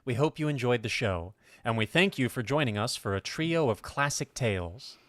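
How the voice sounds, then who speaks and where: Show announcer: man with medium-pitched voice, scripted delivery, close and dry sound. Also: lively intonation, moderate pace, a bit bright, dry recording.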